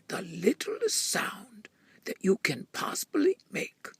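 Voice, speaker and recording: Man playing a hushed, wise old groundhog. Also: high voice, creaky, husky, no echo, voice pushed up, heavily acted. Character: wise old male groundhog giving secret advice.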